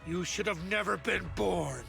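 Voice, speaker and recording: An actor playing a bitter, furious uncle who heads a crime family in a game, gravelly and shouting insults. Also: male voice, high voice, a little slow, faint music behind, exaggerated voice. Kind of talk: game cutscene acting.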